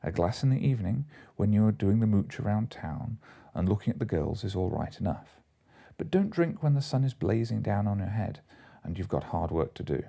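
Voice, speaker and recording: A man narrates classic fiction in the manner of an audiobook. His voice is low and very soft-edged and dark, with a husky grain. He reads a comic story from a script. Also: lively intonation, brisk.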